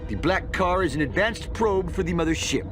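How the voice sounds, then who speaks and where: A man's voice: sits high, expressive, moderate pace, music in the background. A man voicing a smug, pedantic middle-aged sci-fi nerd in a cartoon game, pompous and sarcastic. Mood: smug disdain. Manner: sarcastic, pompous, clipped enunciation. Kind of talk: cartoon game cutscene acting.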